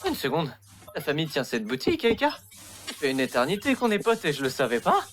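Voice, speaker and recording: A man's voice: a little high, wildly swooping pitch, fairly quick, a bit bright, a little hiss or hum. A man playing a teasing, whiny, melodramatic teenage boy in an anime fan dub. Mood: complaining. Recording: music behind the voice.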